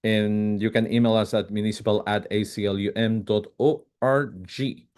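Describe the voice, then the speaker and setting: A man's voice: lowish voice, steady tone, darkish tone. A man from Chile, giving a policy presentation over a video call with frequent fillers and restarts. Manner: halting, many fillers and restarts, quick bursts. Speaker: advocacy presenter.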